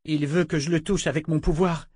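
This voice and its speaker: A man's voice: a little high, steady tone, fairly quick, darkish tone, slightly rough, no room sound, studio quality, pitched above the performer's own voice. A man voicing an anxious, earnest young woman inquisitor in an audiobook, pleading. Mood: horrified. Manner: anguished, pleading. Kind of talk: audiobook character voice.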